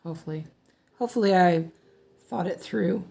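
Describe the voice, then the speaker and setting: A woman chatting casually while stitching at home, rambling with lots of ums, a little hoarse from allergies. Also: lowish voice, moderate pace, mellow. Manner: chatty, rambling, many ums and self-corrections. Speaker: cross-stitch streamer. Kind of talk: casual stitch-along chat.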